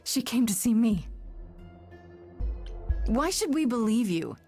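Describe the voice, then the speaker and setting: An actress playing a young muse heroine in a video game, casual and measured, with a dryly sceptical way of asking questions. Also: female voice, a little high, very animated, a little mellow, soft background music, full character voice. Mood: questioning. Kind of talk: naturalistic video game voice acting.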